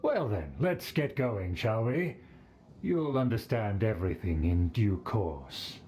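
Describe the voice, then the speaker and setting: A man's voice: on the low side, expressive, takes it slowly, warm tone, slight background noise. A man voicing a haughty, vain aristocrat in a video game, with pompous, affected formal diction. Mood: confiding, self-important. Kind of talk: video game character acting.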